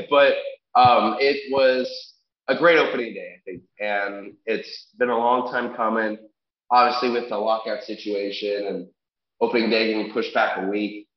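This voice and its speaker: A man's voice: lowish voice, fairly even intonation, measured pace. A young man with a congested, nasal voice, co-hosting a sports podcast in a casual chatty way, with frequent ums and restarts. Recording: echoey room, dull lo-fi sound.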